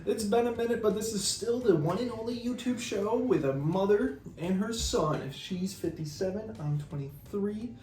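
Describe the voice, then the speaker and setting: A man's voice: sits high, moderate pace, hardly any pauses, darkish tone, distant mic. A young man in his twenties co-hosting a casual chat, upbeat with playful quips. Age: 20s. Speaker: co-host, son. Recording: echoey room off-mic, faint music.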